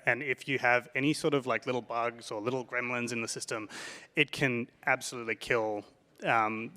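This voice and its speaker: A man's voice: mid-range voice, pushed and bright, big-room sound. A man speaking casually on a panel discussion, conversational with frequent fillers and emphatic points.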